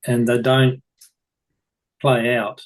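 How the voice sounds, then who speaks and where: A man taking part in a group call, relaxed and plainspoken. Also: mid-range voice, slow, a little hiss or hum. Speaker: session participant.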